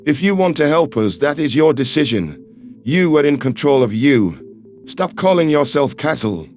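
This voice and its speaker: A man voicing a calm, earnest human man who speaks in firm, measured, reassuring tones. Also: fairly high pitch, voice pushed down. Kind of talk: audiobook character voice.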